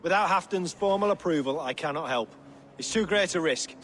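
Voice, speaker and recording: Cautious courtly king, higher voice. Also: animated, a bit bright, slight background noise. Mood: firm refusal. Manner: formal, measured. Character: cautious, stubborn, courtly Saxon puppet king. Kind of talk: video game dramatic acting.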